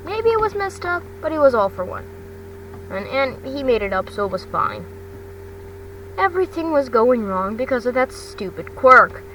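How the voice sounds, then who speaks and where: A woman with a fairly high voice reads a scripted story aloud. She reads haltingly and trips over words. The mic is some distance away and there is clear noise in the recording. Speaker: amateur fan fiction reader.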